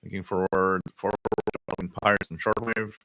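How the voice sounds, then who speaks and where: A man hosting a casual live hobby stream, answering chat comments in a halting way and often repeating his words. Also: low voice, level tone, noisy background. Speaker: livestream host.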